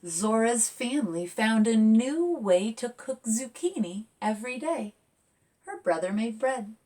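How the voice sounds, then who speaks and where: A woman is doing a storytime for children, speaking as the author of the book. Her pace is unhurried and her pitch moves up and down animatedly as she draws young listeners in. The voice sounds somewhat distant from the microphone. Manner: expressive, addressing young listeners with questions. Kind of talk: storytime talk and picture book read-aloud for children.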